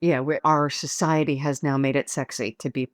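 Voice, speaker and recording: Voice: medium pitch, fairly even intonation, normal speed, no room sound. Host summarizing points in a dry recording. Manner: summarizing, reflective, affirming. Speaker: podcast host. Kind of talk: interview conversation.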